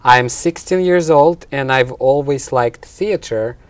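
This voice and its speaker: A man with a Russian accent gives explanatory answers as an interview guest. His voice sits at an average male pitch. The sound is dry, with hardly any room around it. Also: slow, no room sound. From Russia and Ukraine. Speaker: college business instructor, interview guest.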